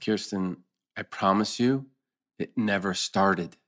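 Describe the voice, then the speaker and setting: A man's voice: lowish voice, takes it slowly, a bit bright. A man acting an earnest, reassuring middle-aged husband in a TV drama table read.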